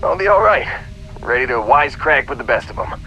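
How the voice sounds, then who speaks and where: A man playing a weary, injured spacefarer in a video game, talking casually in a hesitant, halting way. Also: medium pitch, big pitch swings, sharp tone, highs cut off, faint music behind. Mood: shaken, reassuring. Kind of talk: video game dialogue acting.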